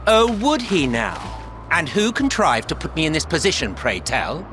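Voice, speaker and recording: An actor playing a haughty, grumbling, long-suffering mage in a video game, with sardonic, theatrical formal phrasing. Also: male voice, on the high side, big pitch swings, moderate pace. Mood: sardonic, indignant. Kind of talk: video game cutscene acting. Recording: music behind the voice.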